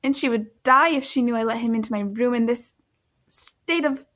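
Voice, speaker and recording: A woman playing a shy, awed young woman in a period audio drama, soft-spoken, hesitant and earnest. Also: on the high side, normal speed, natural voice. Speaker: lead actress and narrator. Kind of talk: first-person dramatic narration and dialogue.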